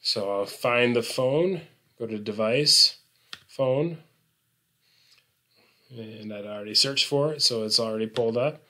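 A man presenting an unscripted software tutorial, explaining things informally step by step. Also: medium pitch.

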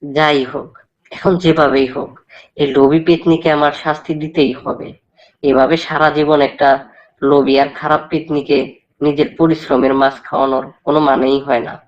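A woman's voice: low-pitched, flat delivery, moderate pace, a little mellow, muffled recording, natural voice. A woman playing a kindhearted, earnest male fisherman in a cartoon. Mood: resigned, resolute. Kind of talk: cartoon character dialogue.

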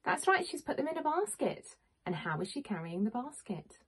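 Woman, forties, very husky breathy voice, lively swooping intonation, children's story time, teacherly questions. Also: a little high, moderate pace. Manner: expressive, questioning, clearly addressing small children. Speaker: primary school teacher. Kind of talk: interactive picture-book story time for young children. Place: small home room with bookshelves, indoors.

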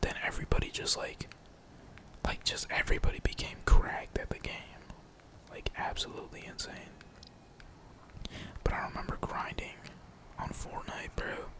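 A man's voice: moderate pace, pauses often. Whispering young man gaming. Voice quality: breathy, unvoiced whisper. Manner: slangy, profane, rambling. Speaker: gaming streamer. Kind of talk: whispered casual gameplay commentary.

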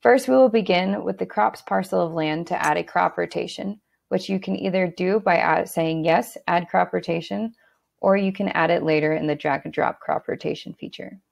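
A woman narrating a screen-recorded software walkthrough in a steady instructional tone, with a few fillers and restarts. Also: fairly low pitch, normal speed, gravelly.

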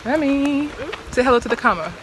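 A young woman vlogging casually while hiking, talking excitedly into a phone held at arm's length outdoors. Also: sits high. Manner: chatty, excitable, often laughing. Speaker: travel vlogger. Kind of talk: casual vlog narration. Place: outdoors on a mountain hiking trail by a stream. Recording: phone audio with rushing stream noise.

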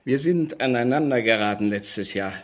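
An actor playing a nosy, sly older village gossip in a radio crime drama, with a husky voice and insinuating manner. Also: male voice, medium pitch, animated, lightly acted. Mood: gossipy, indignant. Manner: conspiratorial, insinuating, drawn-out hints.

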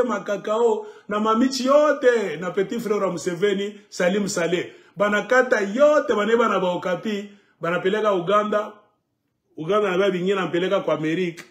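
A middle-aged man, delivering an impassioned, emphatic political monologue to camera at home. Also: high voice. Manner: emphatic, animated, sweeping arm gestures. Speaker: political commentator. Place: living room at home, indoors.

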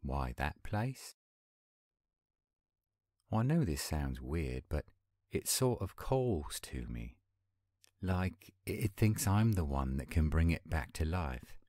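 Guy playing a young werewolf leader, super low voice, earnest n measured, audio roleplay, dry close sound. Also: very animated, darkish tone, no room sound, exaggerated voice. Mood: earnest, hopeful. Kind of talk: roleplay audio drama acting.

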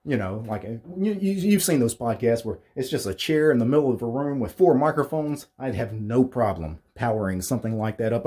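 A man in his thirties with a deep voice, casually walking through his home studio gear, rambling with fillers and restarts. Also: dark tone, husky. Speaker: tech YouTuber. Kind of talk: casual equipment walkthrough. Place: small home studio with acoustic foam panels.

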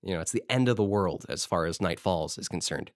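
A man's voice: low voice, fairly quick, no room sound, clean studio sound, close to an everyday voice. A man playing an eager small-town historical society president in an audio drama, telling stories in an informal, rambling way. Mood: eager storytelling. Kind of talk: conversational audio drama monologue.

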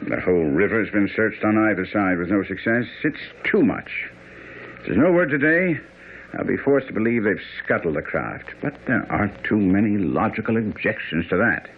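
A brisk, self-assured detective in a radio mystery. His voice sits a little low and moves expressively. The sound is thin, like an old broadcast. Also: lively intonation, husky. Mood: impatient. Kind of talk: radio drama acting. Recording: tinny radio broadcast with faint noise.

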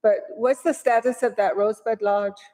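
Woman with a mellow voice in a reverberant hall. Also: fairly high pitch, steady tone, normal speed. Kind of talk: council meeting discussion. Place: large council chamber with flags.

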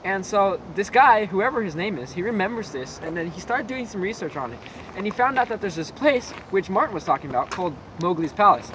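A young man rambling through a creepy story with lots of fillers, recorded in a dark room on a camera a few feet away. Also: sits high, expressive, fairly quick, dull audio. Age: young adult. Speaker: co-host. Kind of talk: casual storytelling. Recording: noisy camera audio.

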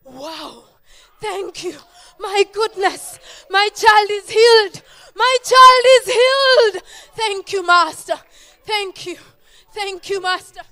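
A woman acts out a desperate mother on a church stage. She pleads through tears in a very high, bright voice and repeats herself slowly, as if begging. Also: full character voice. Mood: joyful. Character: desperate mother of a possessed child. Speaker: actor in a church Easter play. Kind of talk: amateur stage acting.

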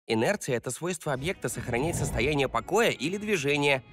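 A man voicing a wise, steady toy lion leader in a cartoon dub, explaining with authority. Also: fairly high pitch, quick pace, background noise, natural voice. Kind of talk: animated series dub.

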